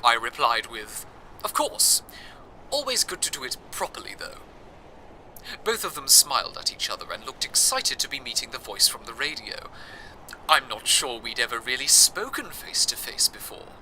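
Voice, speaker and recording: A man playing an earnest, awed broadcaster from a fantasy city, narrating a scripted radio drama in a measured, wistful way. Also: mid-range voice, sing-song, bright, forceful tone, a little hiss or hum, poor audio. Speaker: radio host.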